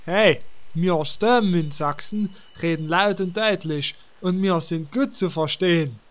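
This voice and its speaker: A man's voice: high voice, unhurried. A man playing a naive, good-natured adventurer with a Saxon accent in a German fantasy parody audio drama.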